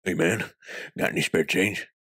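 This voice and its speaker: Husky, low-voiced pushy street beggar played by a man. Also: fairly even intonation, normal speed, sharp tone, no room sound, clean studio sound, full character voice. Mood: wheedling. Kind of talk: comic dub voice acting.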